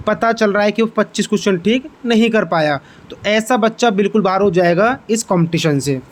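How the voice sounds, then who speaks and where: A man hosting an informational exam update, explaining figures step by step and addressing viewers directly. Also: high voice. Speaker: exam-update channel host.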